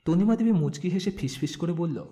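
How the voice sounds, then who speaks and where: A man narrating a scripted romantic story with expressive storytelling delivery. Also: high-pitched, brisk. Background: background music.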